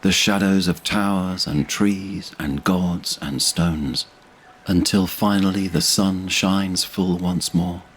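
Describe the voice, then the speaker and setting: A man narrating a scripted fantasy drama in a measured, solemn, dramatic way. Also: sits low, slight background noise. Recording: voice under loud music.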